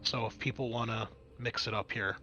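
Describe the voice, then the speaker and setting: A man's voice: medium pitch, fairly even intonation, normal speed, sharp tone, highs cut off. A man acting as game master, explaining the scenario and rules of a tabletop roleplaying game in a conversational, improvised way.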